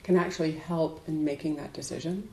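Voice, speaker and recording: Low-voiced woman teaching in an echoey room. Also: steady tone, brisk, dark tone, a little hiss or hum. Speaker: Buddhist meditation teacher. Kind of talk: dharma talk to a group. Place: indoors in a room.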